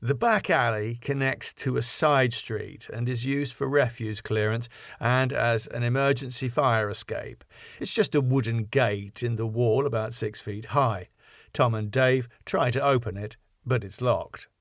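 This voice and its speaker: A man's voice: medium pitch, a little slow, dry recording. Man narrating a script, dry close sound. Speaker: narrator and writer reading his script. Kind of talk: scripted narration of stage directions.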